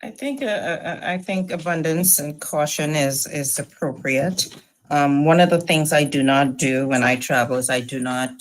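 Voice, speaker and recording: A woman with a Trinidadian accent, co-hosting a casual news chat with quick laughs and playful asides. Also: a bass voice, rough recording. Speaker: podcast co-host.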